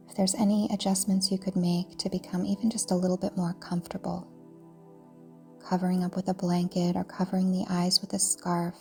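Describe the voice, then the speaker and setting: A woman yoga teacher narrating a guided meditation in a soft, breathy, soothing way, deliberately, with pauses. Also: medium pitch. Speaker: yoga nidra teacher guiding a practice.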